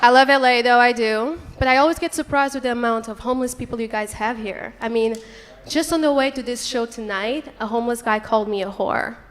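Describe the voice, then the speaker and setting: Brash Portuguese woman doing stand-up. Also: a little high. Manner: brash, crude punchlines with quick crowd asides. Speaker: stand-up comedian. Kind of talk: stand-up comedy set. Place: comedy club stage. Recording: live club recording with long reverb. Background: audience laughter and chatter.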